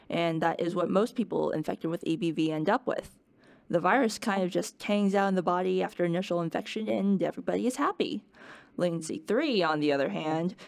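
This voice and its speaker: A woman's voice: lowish voice, gravelly, a little mellow, no echo. A woman playing a wry, playful professor who delivers a scripted lecture in a conversational, plain-explaining way. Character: playful, wry professor giving an unasked-for office-hours lecture. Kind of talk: scripted educational lecture in character.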